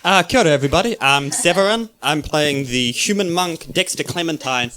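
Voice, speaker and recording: Bright, forceful, higher-pitched man. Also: expressive, fairly quick. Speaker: player introducing his character. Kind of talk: brisk casual stage introduction. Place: live on stage at a club.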